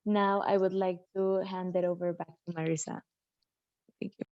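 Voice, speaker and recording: A woman hosting an online workshop, friendly and organized, pausing often with fillers. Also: lowish voice, flat delivery, dry recording. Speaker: workshop host and mentor. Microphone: video call. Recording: dull video call audio.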